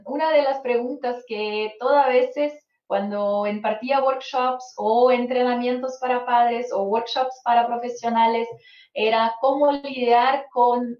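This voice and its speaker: A Brazilian woman whose first language is Portuguese, giving a live online lecture as a psychologist, hesitating often with "eh". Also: on the high side. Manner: hesitant, frequent "eh" fillers. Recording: roomy, dull lo-fi stream audio.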